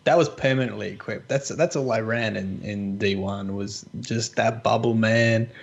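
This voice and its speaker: A man's voice: lowish voice, normal speed, gravelly, highs cut off. A man chatting casually about video games as a panellist on a podcast. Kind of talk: casual gaming chat.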